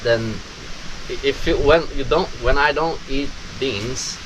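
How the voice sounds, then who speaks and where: A Brazilian man in his twenties with a Brazilian Portuguese accent, talking casually to camera as a vlogger, halting and restarting often. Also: mid-range voice, background noise. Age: 20s. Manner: halting, with many restarts and pauses. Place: countryside home.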